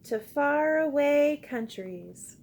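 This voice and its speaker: Female children's read-aloud teacher: high, creaky voice, unhurried pace, encouraging and expressive delivery. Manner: encouraging, expressive, addresses young children with simple questions. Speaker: teacher reading a picture book aloud. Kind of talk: interactive children's read-aloud with call-and-response prompts.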